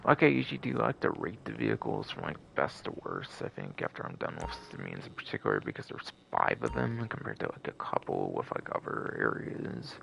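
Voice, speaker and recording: Gravelly, wildly up-and-down male game streamer. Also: extremely low pitch, huge pitch swings, brisk, hardly any pauses, fairly bright tone, a little hiss or hum. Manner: rambling, repetitive, filler-heavy with frequent "like". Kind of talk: casual live game stream commentary.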